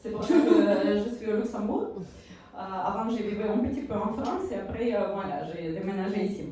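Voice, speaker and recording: A Ukrainian woman with a Ukrainian accent doing stand-up on a club stage, speaking in halting bursts with many fillers and self-deprecating asides. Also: medium pitch, dark tone. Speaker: stand-up comedian. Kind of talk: stand-up set while eating hot sauce. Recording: echoey room, far from mic.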